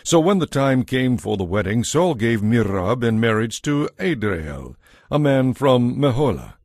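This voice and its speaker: A man narrating a dramatized Bible story from a script in a measured, expressive storytelling manner. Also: mid-range voice, dry recording, clean studio sound.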